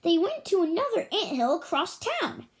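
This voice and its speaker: A woman voicing a tiny, earnest male ant with a squeaky, eager way of explaining things in a read-aloud storybook. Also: very animated, moderate pace. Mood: urgent.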